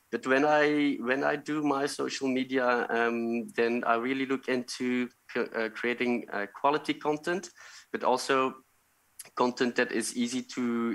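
Belgian-accented man, 30s, bright voice, headset video call, thoughtful with restarts. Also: mid-range voice, rough recording. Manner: thoughtful, searching for words with frequent restarts. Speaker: real estate agent guest. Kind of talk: video call interview answer.

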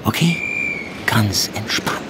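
An actor playing a police handler in a naturalistic audio drama, reassuring yet insistent, often dropping into hushed tones. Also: male voice, takes it slowly, close to an everyday voice. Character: male police handler calming and pressuring his undercover agent. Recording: noisy outdoor ambience with vehicle sounds.

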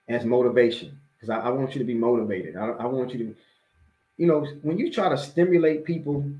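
A man's voice: mid-range voice, darkish tone. An American man in his forties, an imam, gives a motivational religious talk in a conversational, emphatic way, repeating phrases for emphasis. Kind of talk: motivational religious lecture to camera. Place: small indoor room at home or office. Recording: roomy, echoey webcam audio.

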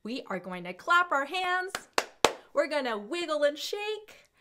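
A young woman hosting a children's storytime, speaking with animated, exaggerated enthusiasm and deliberate enunciation as she teaches. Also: high-pitched, very noisy. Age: young adult. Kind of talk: children's educational presentation.

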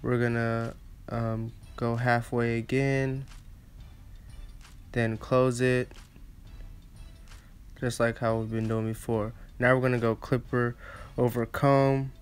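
Clear, even-toned man giving casual instructions. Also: mid-range voice, slow, long pauses. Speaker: barber giving a tutorial. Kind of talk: casual step-by-step instructional narration.

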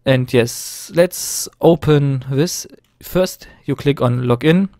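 A man's voice: mid-range voice, slow pace, a little mellow, no room sound. Young german guy doing his first english tutorial, nervous, lots of ums, clean dry sound. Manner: nervous, hesitant, many fillers and restarts. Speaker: gaming tutorial host. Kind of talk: screen-recorded tutorial narration.

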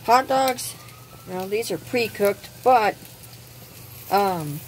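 Slow, hesitant woman cooking outdoors. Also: medium pitch, expressive, slight background noise, low-quality recording. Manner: unhurried, hesitant with frequent ums. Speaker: cooking channel host. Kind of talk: casual cooking demonstration. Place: outdoors at a gas grill.

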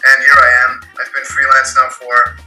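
A man's voice: medium pitch, monotone, moderate pace, bright, forceful tone, soft background music. A young man from Romania answering casually as an interview guest over a video call. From Romania, raised in Austria, Germany and New York. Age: young adult. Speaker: guest, graphic artist. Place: home room with desk, indoors. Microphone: video call, computer at desk distance. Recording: roomy, tinny video-call audio.

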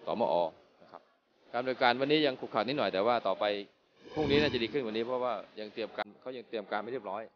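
A middle-aged man, a consumer protection police officer, giving a plain, halting on-the-spot interview. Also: medium pitch, muffled recording, a little hiss or hum. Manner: plain, halting, repeats words. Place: inside a supermarket.

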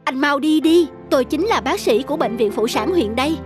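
A woman's voice: sits high, lively intonation, fairly quick, music in the background. A woman playing an earnest, decisive female doctor, speaking emphatically in a cartoon drama. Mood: urgent.

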